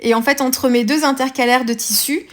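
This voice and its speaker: A woman with a French accent talks through a craft project in a fairly high voice. She pauses often and restarts her sentences. Her tone is casual and chatty, like a friendly tutorial. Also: fairly even intonation. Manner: hesitant, many fillers and restarts. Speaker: scrapbooking vlogger.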